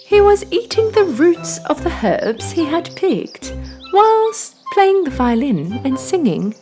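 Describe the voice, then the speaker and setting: A woman's voice: very high voice, sing-song, slow pace. A woman narrating a scripted children's story as a storyteller. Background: background music.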